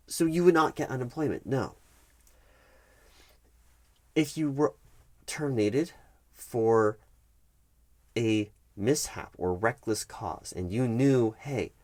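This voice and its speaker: A man playing a detached, patronizing corporate HR manager in a one-sided ASMR roleplay, speaking in a halting, measured, bureaucratic way. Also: deep voice, no room sound. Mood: firm, officious. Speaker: human resources manager.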